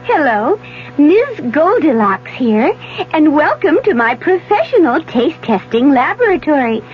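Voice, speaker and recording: A woman's voice: sits high, sing-song, unhurried. An actress voicing a perky, bossy storybook girl as a taste tester in a 1970s comic radio commercial. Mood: perky, pleased. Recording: muffled 1970s radio broadcast, faint music.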